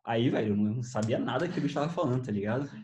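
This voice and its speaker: A young man with a Brazilian accent chatting casually and telling stories in an animated, slangy way. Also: mid-range voice, racing, a little hiss or hum. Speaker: host. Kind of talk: casual chat, telling memories.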